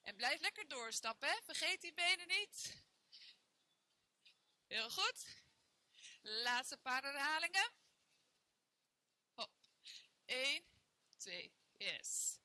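A woman's voice: high voice, big pitch swings, slow pace, long pauses, sharp tone, no echo. A young woman leading a workout class, counting reps and cheering on her students while she exercises along in a bare indoor room. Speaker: fitness trainer. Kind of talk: guided workout instruction.

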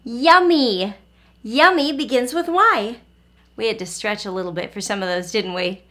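A woman's voice: a little high, moderate pace, a bit bright. A woman in her forties teaching and reading to young children, encouraging and carefully enunciated. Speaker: early-learning teacher, host. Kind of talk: children's phonics lesson and read-aloud. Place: small furnished room at home, indoors.

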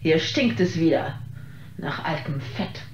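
A haughty female opera diva in a radio play, speaking with pompous disdain. She condescends to everyone around her. The recording is muffled, with clear noisy ambience behind her. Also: sits low, animated, a little slow. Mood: disdainful, peevish.

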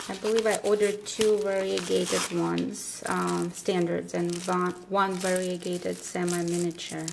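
Female vlogger for casual unboxing narration: unhurried, hesitant phrasing, faint background noise with crinkling paper. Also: medium pitch, vocal fry, darkish tone. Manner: halting, pausing between short phrases. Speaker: vlogger presenting her plant unboxing. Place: indoors at a table. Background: crumpling paper packaging.

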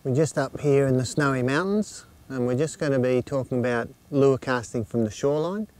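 A man's voice: mid-range voice, fairly quick, a little mellow, dry recording. A man in his fifties with an Australian accent gives informal, plain-spoken instruction in an easygoing way, outdoors. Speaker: fishing instructor. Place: outdoors on an open lake shore.

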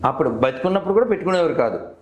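A man's voice: fairly high pitch, big pitch swings, rapid-fire, a little mellow. A man debating conversationally on a call, persistently probing with leading questions as the host. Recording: roomy, echoey phone call recording.

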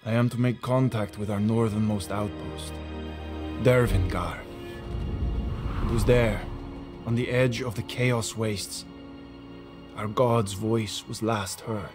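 Slow-spoken, solemn warrior prince. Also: male voice, fairly low pitch, steady tone. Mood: solemn, weary. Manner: measured, solemn, theatrical. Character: grave, devout warrior prince on a desperate quest. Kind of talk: dramatic video game cinematic acting. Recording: loud music behind. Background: loud music.

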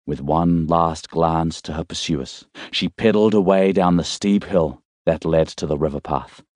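A man reading a thriller novel as an audiobook narrator, in a measured, suspenseful storytelling way. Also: very deep voice, a little mellow, no echo, clean studio sound.

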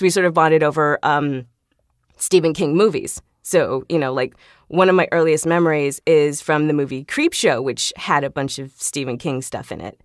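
Low-pitched woman chatting casually, dry sound. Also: dry recording. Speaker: podcast guest, video game voice actor. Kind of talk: casual, chatty interview talk.

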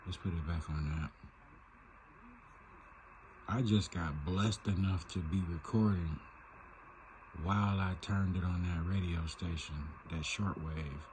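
Very low-voiced man, casual walkthrough. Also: steady tone, a little slow, long pauses, darkish tone, slight background noise, low-quality recording. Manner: informal, thinking aloud. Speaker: video creator demonstrating shortwave radio listening. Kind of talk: casual off-the-cuff screen tutorial. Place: indoors at a laptop. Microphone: handheld phone behind the camera.

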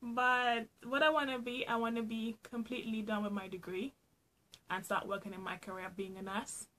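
A woman's voice: fairly high pitch, level tone, normal speed, a bit bright. A young woman from Trinidad and Tobago with a Trinidadian accent, chatting playfully and laughing often as she answers get-to-know-me questions for her vlog in a small room at home. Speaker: vlogger.

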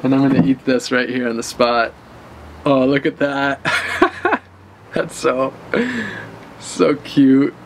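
A man's voice: mid-range voice, animated, slow, long pauses. A man in his thirties giving an enthusiastic, casual walk-through of his garden in a vlog, talking as he moves and eats. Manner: enthusiastic, gestures while talking and eating. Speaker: vlogger showing his garden. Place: outdoor urban garden, then indoors by a bookshelf. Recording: outdoor traffic noise in background.

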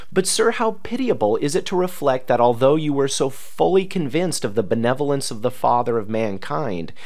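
A man reads a historical letter aloud in a formal, oratorical manner with emphatic pauses. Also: mid-range voice. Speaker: reader.

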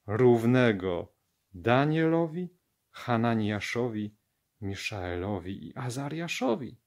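A man reading Bible scripture aloud as a narrator for children, with measured, deliberate enunciation. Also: mid-range voice, darkish tone. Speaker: Bible story reader.

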